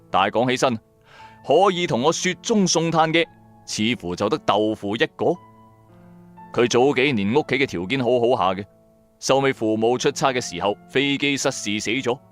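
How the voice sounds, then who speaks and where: A man narrating a radio drama in the first person, colloquial, wry and expressive. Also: mid-range voice. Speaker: storyteller, narrator.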